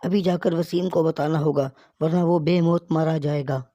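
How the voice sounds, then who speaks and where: A man's voice: a little high, steady tone, normal speed, dark tone, no room sound, highs cut off, close to an everyday voice. An anxious, loyal male friend acted by a man in a horror cartoon. He urges and warns in an earnest way, and the sound is very dry. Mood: alarmed.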